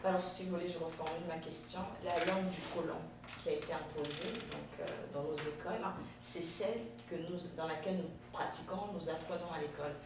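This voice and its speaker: Polite interviewer, lots of ums, steady noise in the background, boomy room. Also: a little fast, hardly any pauses. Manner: polite, hesitant with fillers. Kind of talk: interview questions. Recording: echoey room, mic far off, clear noise.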